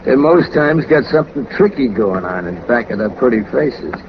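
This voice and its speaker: Man acting a chatty, menacing hired killer, animated, old radio. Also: medium pitch, normal speed, lightly acted. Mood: chatty, coolly menacing. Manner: colloquial, ungrammatical tough-guy talk, matter-of-fact. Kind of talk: 1940s radio crime drama acting. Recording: dull, noisy old 1940s radio recording.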